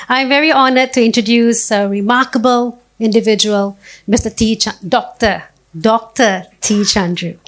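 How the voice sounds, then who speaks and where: Lady reading an award citation, formal and measured, slightly high voice. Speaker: citation presenter.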